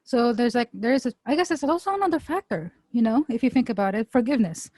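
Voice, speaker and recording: A young Filipino woman co-hosting a podcast, her voice a touch high and recorded dry and close. She is playful, searches for her words, and slows down to weigh a point. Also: lively intonation, darkish tone, no echo. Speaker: podcast co-host. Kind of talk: casual chat.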